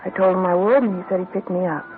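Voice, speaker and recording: A woman's voice: lowish voice, fairly even intonation, quick pace, a little hiss or hum, lightly acted. An actress playing a guarded female informant in an old radio drama, blunt and clipped. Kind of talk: 1950s radio drama acting. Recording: tinny lo-fi old radio broadcast.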